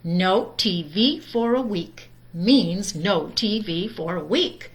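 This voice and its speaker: A patient but firm storybook mother bear, voiced by a woman reader. She speaks slowly and deliberately, in a medium-pitched voice. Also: animated.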